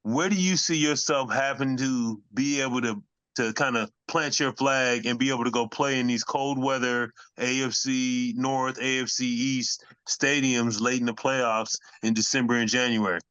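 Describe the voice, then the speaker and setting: Male sports co-host, resonant and casual, mid-pitched, on dull lo-fi audio. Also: steady tone, normal speed, a bit bright, clear, resonant tone, dry recording. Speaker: podcast co-host, former football player.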